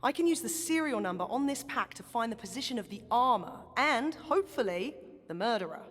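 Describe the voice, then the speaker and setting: A woman's voice: on the high side, expressive, a little fast, big-room sound. A woman with a British accent voicing a blunt, feisty, exasperated soldier in a video game. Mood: intent. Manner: blunt, slangy. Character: feisty, exasperated female soldier leading an investigation.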